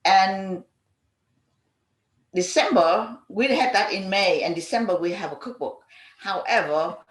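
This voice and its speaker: A middle-aged woman who speaks English as a second language, recalling experiences on a panel in an animated, halting way. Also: medium pitch. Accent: non-native English. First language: not English. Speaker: panelist, community advocate. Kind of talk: panel discussion, personal recollection. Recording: echoey room sound.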